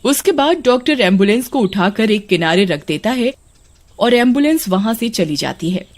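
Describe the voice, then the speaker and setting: A woman's voice: a little high, lively intonation, normal speed, a little hiss or hum. A woman reading scripted story narration as a storyteller. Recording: music behind the narration.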